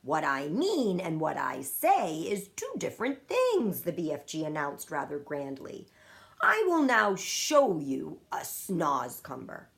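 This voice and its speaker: A woman reading a children's story aloud as scripted, expressive narration. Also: medium pitch. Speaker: storybook reader.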